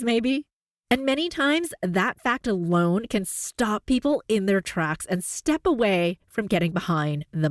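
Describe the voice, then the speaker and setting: A woman from Canada with a neutral North American accent, hosting a podcast as a voice coach. She talks in an upbeat, encouraging way and jumps up in pitch for emphasis. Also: no echo, studio quality. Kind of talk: scripted podcast monologue.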